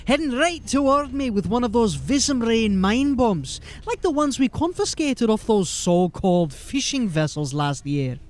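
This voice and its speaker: A man's voice: extremely high pitch, exaggerated voice. A man playing a folksy, rambling, deferential corporal of a fantasy city watch in an audio drama. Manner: folksy, rambling, eager to please.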